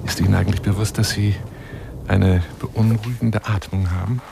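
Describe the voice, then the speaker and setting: A man's voice: fairly low pitch, steady tone, normal speed, gravelly, background noise. An actor in a radio monologue playing a florid, wheedling lion hunter who courts a woman over the phone in an intimate, theatrical way. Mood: intimate, yearning. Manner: grandiloquent, wheedling, intimate, dropping into whispers.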